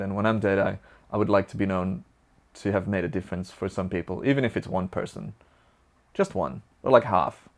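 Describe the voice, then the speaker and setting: A young man from Belgium with a Flemish accent, a native Dutch speaker, answering viewer questions in a chatty, upbeat and rambling way. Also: fairly low pitch. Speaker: vlogger.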